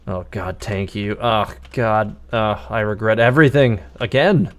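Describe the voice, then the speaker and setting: A man with a fairly low voice streams a game in a casual way. He chats along and thinks aloud as he plays. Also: a little slow. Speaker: game livestreamer. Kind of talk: casual gameplay commentary. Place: indoors at a computer.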